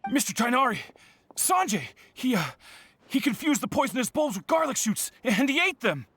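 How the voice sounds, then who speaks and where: A woman's voice: sits high, sing-song, moderate pace, fairly bright tone, slight background noise. A woman playing a flustered, panicked assistant in a video game. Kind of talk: video game character acting.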